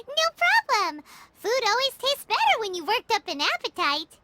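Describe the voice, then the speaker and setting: A woman voicing a tiny, chirpy, childlike fairy companion in a video game, bubbly, eager and squeaky. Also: big pitch swings, a little slow, bright, forceful tone, slight background noise, exaggerated voice. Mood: excited, cheerful. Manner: bubbly, refers to self in third person. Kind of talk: English video game voice acting. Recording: prominent music under the voice.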